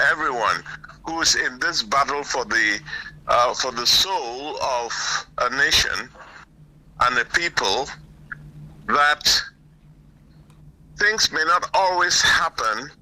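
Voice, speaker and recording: A man giving earnest, deliberate political commentary on a call, repeating phrases for emphasis. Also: fairly high pitch, pushed and bright, low-quality recording. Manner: halting, deliberate, emphatic repetition. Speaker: guest caller, political commentator. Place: remote call.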